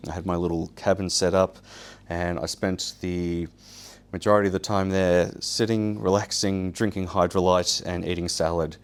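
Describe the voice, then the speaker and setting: A man's voice: sits low, little pitch movement, normal speed. A man whose first language is Polish, giving an informal first-person talk to an audience in a casual, self-deprecating, wry manner. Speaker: guest speaker, survival show winner.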